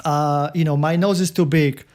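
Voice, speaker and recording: A man from Poland with a Polish accent, hosting a casual interview in a halting way, often repeating and restarting his words. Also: a little high, steady tone, darkish tone. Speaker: podcast host.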